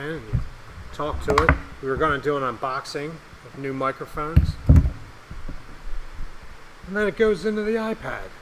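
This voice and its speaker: A man co-hosting a casual podcast, slightly raspy from a recent cough, rambling and joking. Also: mid-range voice, very noisy. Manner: rambling, jokey, swears casually. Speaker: podcast co-host and producer.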